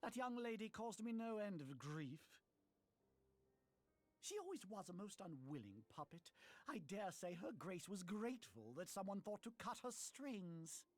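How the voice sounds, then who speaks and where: Pompous scheming aristocrat, swooping delivery. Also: male voice, high-pitched, very animated, a little slow, full character voice. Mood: mocking, callous. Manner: pompous, mock-courteous, sneering. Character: smug scheming aristocratic male politician, small and wealthy. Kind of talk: theatrical game cutscene acting.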